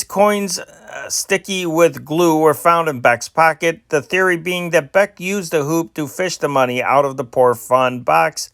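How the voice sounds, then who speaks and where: A man's voice: fairly high pitch, fairly bright tone, dry recording, studio quality. A man reading old newspaper stories aloud, with occasional stumbles and restarts. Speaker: amateur news reader and channel host.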